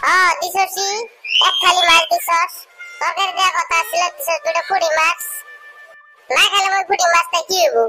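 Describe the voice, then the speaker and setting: A man voicing an aggrieved, sarcastic village fisherman in a comic cartoon, with a rural Bengali dialect and a pitch-raised squeaky voice. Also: little pitch movement, moderate pace, long pauses, music in the background, rough recording. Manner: complaining, scolding, sarcastic. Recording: loud background noise.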